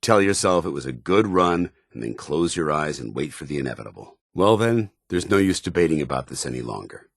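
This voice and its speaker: An actor playing an earnest, honour-bound soldier paladin in a video game, with a firm voice and grave, formal, deliberate delivery. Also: male voice, extremely low pitch, big pitch swings, creaky, slightly rough, dry recording, studio quality, heavily acted. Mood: despairing, then resolute. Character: earnest, honour-bound military paladin, a synthetic man troubled by his identity. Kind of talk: dramatic video game dialogue.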